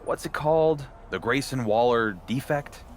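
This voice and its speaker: A man's voice: mid-range voice, moderate pace, a little hiss or hum, lightly acted. A male actor playing a self-assured, guarded champion wrestler in a video game, wry and needling. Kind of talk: video game cutscene dialogue.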